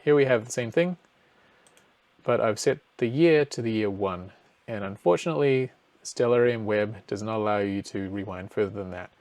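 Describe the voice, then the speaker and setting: A man's voice: on the low side, moderate pace, a little mellow, no echo. New man explaining over dry audio. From New Zealand. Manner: casual, explanatory, thinking aloud with fillers. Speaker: presenter. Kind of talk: screen-share astronomy explainer.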